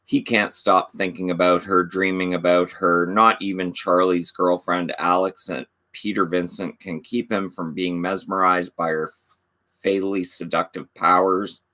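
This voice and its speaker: A man hosting a casual video channel, reading DVD back covers aloud and chatting about his collection. He says he is losing his voice, and his asides are low-key and offhand. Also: level tone, measured pace, bright, forceful tone. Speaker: channel host.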